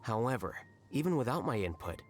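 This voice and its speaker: An actor playing a cool, rational young scholar in a video game, blunt and measured. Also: male voice, medium pitch, very animated, music in the background, natural voice. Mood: dry, indifferent. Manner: measured, detached, matter-of-fact. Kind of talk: scripted video game dialogue.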